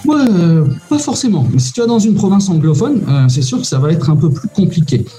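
A man talks through casual advice, stopping often on fillers. His voice is very dark and mellow. Faint music plays behind him. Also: high-pitched, big pitch swings, a little fast. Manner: hesitant, many "euh" fillers, informal. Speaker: immigration consultant guest.

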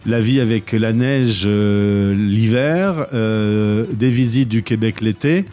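A man from France interviewing a guest, asking brisk, teasing questions. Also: on the low side, dark tone. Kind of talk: radio interview.

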